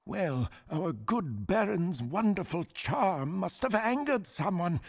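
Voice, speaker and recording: High, raspy, sly schemer. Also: male voice, sing-song, slow pace, heavily acted. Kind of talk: video game character acting.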